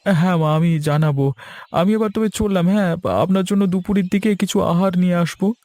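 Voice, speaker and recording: A man's voice: high voice, steady tone, fairly quick, darkish tone, no room sound. A man voicing a timid, deferential and helpful village man in a cartoon, with hesitant, stammering speech. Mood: polite, nervous. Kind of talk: cartoon voice acting.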